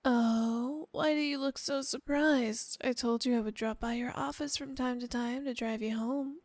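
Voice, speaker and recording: Girl doing a possessive yandere wife asmr thing, cooing sweet high voice, really close dry sound, creepy coaxing. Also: darkish tone, dry recording. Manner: cooing, saccharine, coaxing, drawn-out delivery. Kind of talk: roleplay ASMR acting.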